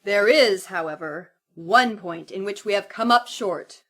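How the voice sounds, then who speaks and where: A woman's voice: medium pitch, measured pace, a bit bright. A woman playing a stern cleric who preaches solemnly and declaims to an obedient congregation in an audio drama. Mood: solemn, formal.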